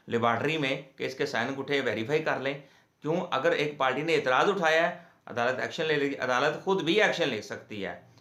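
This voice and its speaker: Bright-voiced Pakistani man explaining law, echoey room. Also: medium pitch. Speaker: legal explainer, host of a law channel. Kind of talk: informal legal lecture, explaining to viewers. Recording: echoey, reverberant room sound.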